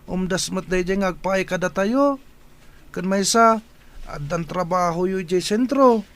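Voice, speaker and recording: A man's voice: high voice, a little slow, a bit bright. A man playing an earnest teenage son who protests and implores in a radio drama. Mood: protesting, upset. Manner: insistent, imploring.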